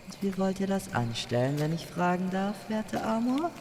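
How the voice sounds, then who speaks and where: A man's voice: sits high, big pitch swings, normal speed, a little mellow, long reverb tail, a little hiss or hum, voice pushed up. A man voicing a teasing, courtly, light-footed magical woman in a fairy-tale audio drama.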